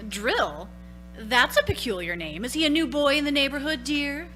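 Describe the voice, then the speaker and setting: Bright, indulgent mother. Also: high voice, lively intonation, moderate pace, soft background music. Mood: curious, chiding. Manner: gently chiding, inquisitive. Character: amused, indulgent suburban mother. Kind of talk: live radio play acting.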